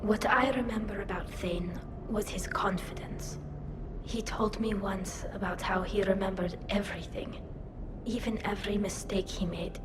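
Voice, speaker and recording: An earnest, self-doubting crewmate played by a woman in a video game. Her mid-pitched voice has a clear echo, with music behind it. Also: steady tone, moderate pace, natural voice. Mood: wistful, subdued. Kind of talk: video game cutscene acting, eulogy.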